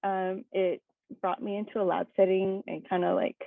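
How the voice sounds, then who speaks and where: A young woman from Hawaii talks casually to a group of educators, filling her speech with "um" and "like" and light laughs. Her voice sits at a middle pitch and comes through a thin, phone-like video call connection with no room sound. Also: flat delivery, normal speed, vocal fry, dry recording. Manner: chatty, many fillers and laughs. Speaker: guest speaker. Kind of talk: casual personal talk. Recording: dry tinny video call audio.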